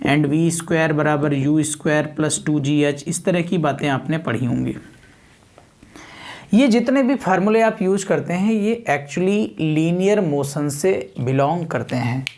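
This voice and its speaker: A man's voice: fairly high pitch, animated, a little slow, darkish tone. A man lecturing as a physics teacher, repeating key terms, asking rhetorical questions and mixing in English technical words. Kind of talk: exam coaching lecture with everyday examples.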